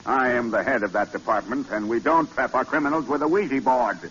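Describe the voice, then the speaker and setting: Man as gruff, exasperated radio police commissioner. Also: medium pitch, fairly quick, a bit bright, natural voice. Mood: gruff authority. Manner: blunt, sarcastic, impatient. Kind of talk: 1940s radio acting. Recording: muffled old radio recording with hiss.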